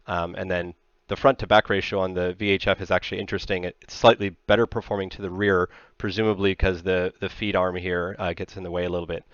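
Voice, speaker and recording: Low-voiced man explaining technical slides. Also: little pitch movement, fast talker, fairly bright tone, no echo. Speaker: presenter. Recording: slightly dull, band-limited sound.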